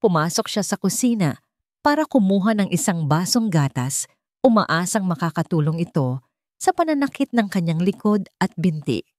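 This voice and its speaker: A woman's voice: fairly low pitch, big pitch swings, normal speed, a little mellow, dry recording, studio quality. A woman giving a scripted, dramatic narration of a sentimental love story.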